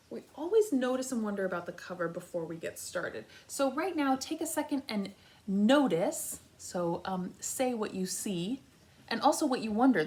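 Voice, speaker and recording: Expressive woman teacher reading to young children. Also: mid-range voice. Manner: instructional, speaks directly to young listeners, thinks aloud. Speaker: kindergarten teacher reading aloud. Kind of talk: children's read-aloud lesson with prompting questions.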